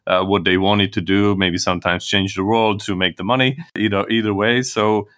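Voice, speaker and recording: A man hosting a podcast interview, speaking with hesitant pauses and fillers. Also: lowish voice, steady tone, fairly bright tone. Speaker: podcast host.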